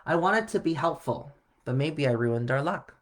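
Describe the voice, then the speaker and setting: A man voicing an earnest, anxious little girl in a children's storybook, with expressive delivery. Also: fairly high pitch, moderate pace, natural voice. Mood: discouraged.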